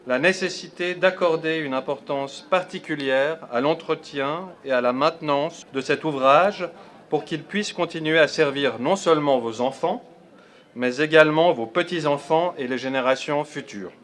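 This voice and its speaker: A man's voice: a little high, normal speed, fairly bright tone. A man delivering a formal prepared speech as an official. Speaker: official giving a formal address.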